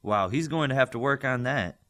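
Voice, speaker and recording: An awkward, curious young student in an audiobook story, voiced by a man. The delivery is tentative and conversational, with pitch that moves up and down a lot. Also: lively intonation, moderate pace, a bit bright, close to an everyday voice.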